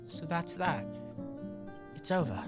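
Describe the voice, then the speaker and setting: Casting: actress as stubborn, wounded young gang leader, low female pitch, very animated delivery, audio drama. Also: slow pace. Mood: hurt. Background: guitar music.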